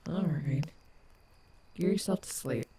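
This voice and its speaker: A woman's voice: sits low, slow, dark tone, a little hiss or hum. A woman playing a sardonic but protective animatronic wolf rock star in a fan audio roleplay, blunt and affectionate. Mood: tender, soothing. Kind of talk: fan audio roleplay, soft intimate acting.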